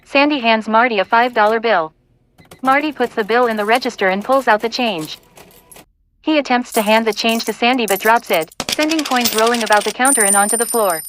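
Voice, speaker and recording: A woman's voice: on the high side, a little fast, a bit bright, slight background noise. A woman reading scripted narration and stage directions for an audio play.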